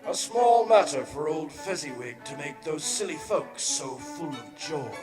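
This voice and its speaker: An actor playing a solemn, commanding spirit in a theatrical radio drama, grave and formal, echoing over music. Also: male voice, fairly high pitch, expressive, a little slow. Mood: questioning. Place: performing arts center stage. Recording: echoey hall, music underneath.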